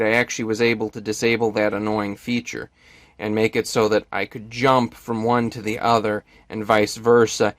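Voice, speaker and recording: A man's voice: medium pitch, dry recording. A man presenting a hobbyist tech demonstration, explaining his device modification in a methodical, technically precise way.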